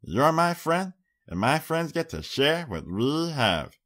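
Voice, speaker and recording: Guy doing a sweet little girl pony for an audiobook, high pitched, talks slow, really earnest. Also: very animated, a bit bright, full character voice. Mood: warm, caring.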